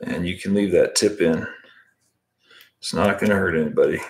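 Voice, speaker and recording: A man's voice: low-pitched, normal speed. Laid-back man chatting through a fly-tying stream. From United States. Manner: relaxed, chatty, answers viewer comments while working. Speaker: fly tying livestream host. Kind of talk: casual live tutorial with chat. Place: home room, indoors.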